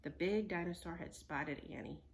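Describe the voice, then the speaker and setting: A woman's voice: on the low side, normal speed, a little hiss or hum. A woman storyteller reading a children's book aloud.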